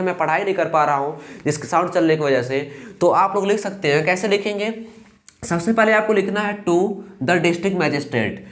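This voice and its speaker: A young man with an eastern Uttar Pradesh Hindi accent teaching a lesson, reading English lines slowly and carefully. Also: sits high. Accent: Hindi speaker from eastern Uttar Pradesh. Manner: slow and careful when reading English lines, fillers "ठीक" between steps. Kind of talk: explaining a lesson.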